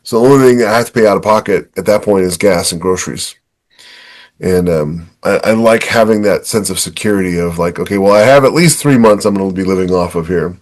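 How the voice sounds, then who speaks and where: Lower-voiced man chatting on a podcast. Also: a little fast. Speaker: podcast co-host and writer. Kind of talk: casual podcast chat.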